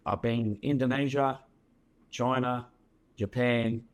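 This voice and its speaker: An Australian man, a guest in a casual chat, with a sore, croaky throat and an easygoing, hesitant way of talking. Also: medium pitch, fairly even intonation, slow.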